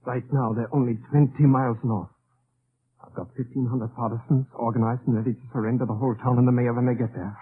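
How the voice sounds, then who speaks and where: A man's voice: mid-range voice, fairly even intonation, fast, narrow, tinny audio, lightly acted. An actor playing a confident, urgent undercover resistance man in an old radio drama. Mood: urgent, reassuring. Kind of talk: 1950s radio drama acting. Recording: hissy, thin old radio broadcast.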